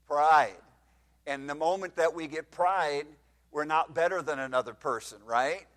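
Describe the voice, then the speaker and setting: A man's voice: fairly high pitch, a little slow, a bit bright. A man in his fifties preaching a sermon in a church, reading scripture in old-style wording and putting questions to the congregation. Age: 50s. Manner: reads scripture in King James wording. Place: church hall, slightly echoey. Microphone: microphone.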